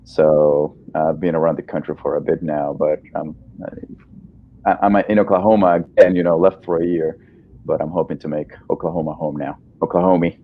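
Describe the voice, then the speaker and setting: A man's voice: extremely low pitch, level tone, moderate pace, breathy edge, narrow, tinny audio. A man in his thirties from El Salvador, a native Spanish speaker, answering interview questions in a casual, friendly, informal way. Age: 30s. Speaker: guest, breast cancer surgeon.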